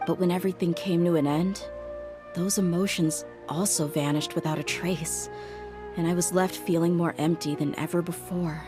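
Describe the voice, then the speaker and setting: Game heroine, woman performer: tough young desert mercenary, lowish voice, frank, introspective, music behind. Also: fairly even intonation, moderate pace, close to an everyday voice. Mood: melancholy, reflective. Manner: frank, reflective, weighty delivery. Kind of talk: video game voice acting.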